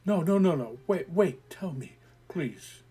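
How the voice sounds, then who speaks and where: A man reading an audio story, voicing a calm, skeptical grown man who patiently explains things away in a soothing, lecturing way. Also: fairly high pitch, a little slow, breathy edge, soft background music, exaggerated voice. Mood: placating.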